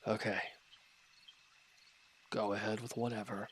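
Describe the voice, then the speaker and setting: A man playing a wounded, irritable villain in an audio roleplay, gruff and curt but grudgingly caring, with an exasperated, grumbling delivery. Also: fairly low pitch, level tone, measured pace, slight background noise, close to an everyday voice. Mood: pained, grumbling. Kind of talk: scripted audio roleplay acting.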